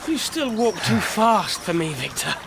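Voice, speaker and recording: An actor playing a loyal, genial young gentleman in an audio drama, concerned and lightly teasing with his friend. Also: sits high, big pitch swings, unhurried, creaky, background noise, exaggerated voice.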